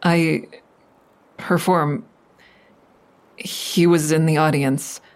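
An actress playing an anxious, hesitant woman making a halting call for help in an audio drama. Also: sits low, fairly even intonation, a little mellow. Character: anxious, hesitant woman reporting a stalking ex-boyfriend. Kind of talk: audio drama acting, naturalistic phone dialogue.

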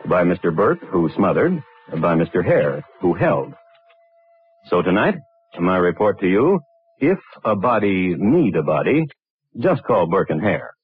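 Tinny 1950s radio recording: sardonic crime-story host, deep, deliberate, dry, widely swinging intonation. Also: male voice, sing-song, slightly rough, full character voice. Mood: dry, ominous. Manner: deliberate, dry, macabre understatement. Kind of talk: 1950s radio drama narration. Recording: tinny, band-limited vintage radio recording.

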